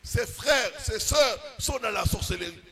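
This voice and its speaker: A man preaching as a pastor, with emphatic bursts and drawn-out pauses. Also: very high-pitched, animated, sharp tone, husky. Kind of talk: sermon.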